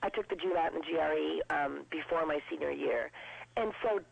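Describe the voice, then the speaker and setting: A woman in her twenties with a low voice, chatting upbeat as a guest in an interview. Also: creaky, husky, dry recording. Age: 20s. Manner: chatty and upbeat, quick with jokes. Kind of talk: casual interview. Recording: dull lo-fi broadcast sound.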